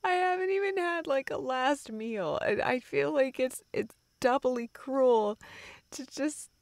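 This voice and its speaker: A woman's voice: high voice, a little slow, no room sound. A woman playing a playful, nervous young woman in an intimate romantic audio roleplay, hesitant and stammering, with a teasing, giggly edge. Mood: playfully pleading. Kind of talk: intimate romantic audio roleplay, naturalistic improvised-sounding dialogue.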